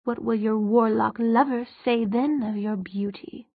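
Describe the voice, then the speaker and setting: A haughty fairy queen of ageless cunning, as a woman narrator acts her out. Her voice is on the high side and close and dry. She speaks in formal, imperious phrases, as a storybook ruler who looks down on her listeners. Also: moderate pace, husky, no room sound, narrow, tinny audio. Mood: gloating.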